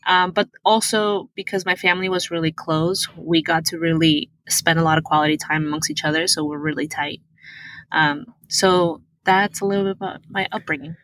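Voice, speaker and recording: A woman from Peru, a native Spanish speaker, telling her story as a podcast guest over a remote call. Her voice is low-pitched yet bright and forceful. She comes across as earnest and animated, and the sound is dry and close. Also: normal speed, no room sound, poor audio. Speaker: guest, tech product manager. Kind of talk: interview answers, personal storytelling.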